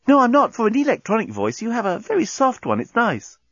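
A man's voice: sits high, wildly swooping pitch, a little fast, a bit bright, no echo, dull audio, voice pushed up, exaggerated voice. A man voicing an earnest, charming, plucky young woman in a storybook, with an eager, pleading manner. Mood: gentle, coaxing.

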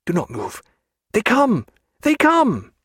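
Audiobook role: excitable scholarly birdwatcher at sea, pitched high, very animated, pompous, quick to outrage, performed by a male reader. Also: breathy edge, no room sound, clean studio sound. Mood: rapt excitement. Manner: exclamatory, formal old-fashioned speech, pompous. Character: excitable, learned ship's man, keen birdwatcher, easily outraged.

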